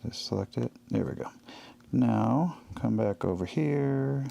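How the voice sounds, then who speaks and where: A man narrating his work casually as he goes, step by step, in short, halting phrases. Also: mid-range voice, creaky, warm tone. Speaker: livestreaming audio producer.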